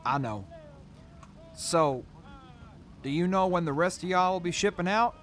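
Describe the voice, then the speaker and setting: An actor playing a sincere, gentlemanly military major in an audio drama, earnest and grateful. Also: male voice, sits high, a little slow, soft background music. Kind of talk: post-apocalyptic audio drama acting.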